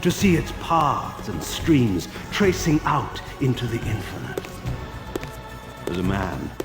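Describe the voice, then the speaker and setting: An actor playing an arrogant, philosophical ancient vampire lord in a video game, with grandiose, sinister theatrical oratory. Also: male voice, deep voice, gravelly, roomy sound, exaggerated voice. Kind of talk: dramatic video game acting.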